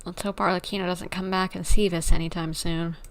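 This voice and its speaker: A woman's voice: lowish voice, level tone, brisk. A woman streaming a game with casual live reactions and blunt, sardonic asides.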